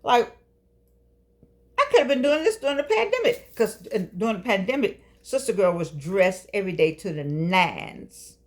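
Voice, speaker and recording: A woman's voice: mid-range voice, big pitch swings, moderate pace. An older woman hosting her own show, talking in a playful, preachy, coaxing way and drawing out phrases for effect. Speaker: host. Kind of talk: casual talk show monologue. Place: home studio with keyboard.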